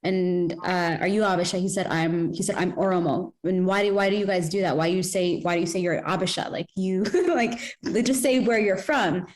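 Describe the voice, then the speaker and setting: A woman in a casual podcast conversation, asking questions and telling an anecdote in an animated, chatty way. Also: lowish voice, normal speed, hardly any pauses. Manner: animated, laughs while telling stories.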